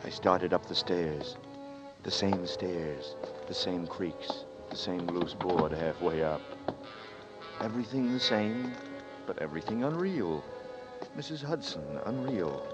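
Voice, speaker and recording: Troubled narrator, tense, brooding, deep voice, music behind, old radio sound. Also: male voice. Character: troubled man narrating his ordeal. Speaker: narrator and lead. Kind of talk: 1940s radio drama narration. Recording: lo-fi 1940s radio broadcast with music.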